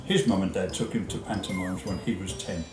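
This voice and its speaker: A man giving halting, conversational explanations as an interviewed stage performer. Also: low voice, far from the mic. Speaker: pantomime performer being interviewed. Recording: echoey room, music behind.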